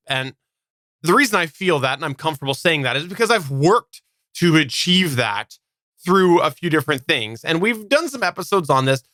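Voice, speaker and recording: A man's voice: a little high, bright, forceful tone, no room sound. A man hosting a podcast in a casual, technical explainer style, often qualifying his points with asides, on a close studio microphone. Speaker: podcast host. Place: quiet, dry home studio. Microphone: close studio microphone through preamp.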